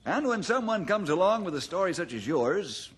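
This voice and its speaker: Radio drama ranger, calm and skeptical, highish animated voice, folksy, measured questioning. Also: male voice, breathy edge, heavily acted. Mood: skeptical, firm. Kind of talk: old radio adventure drama acting.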